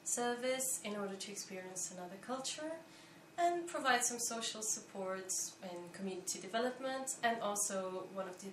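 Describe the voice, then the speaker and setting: Late-20s woman from belgium, explaining stuff slowly w/ lots of ums, kinda echoey room. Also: mid-range voice, expressive, a bit bright, recorded from a distance. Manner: thoughtful, measured, with frequent pauses and fillers. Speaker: interviewee, volunteer. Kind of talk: explanatory interview answers. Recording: echoey room, faint background noise.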